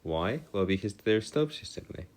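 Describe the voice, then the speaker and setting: Male tutor, deep voice, very varied intonation, informal lecture, formal wording, self-correcting. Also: very animated, moderate pace. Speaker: maths tutor. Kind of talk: informal lecture working through equations.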